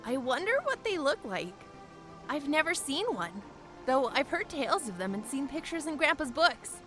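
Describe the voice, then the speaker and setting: A woman's voice: high voice, big pitch swings, moderate pace, fairly bright tone, faint music behind. A woman playing a young airship pilot in an audio drama, practical and curious, talking in a frank, conversational way. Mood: uneasy wonder.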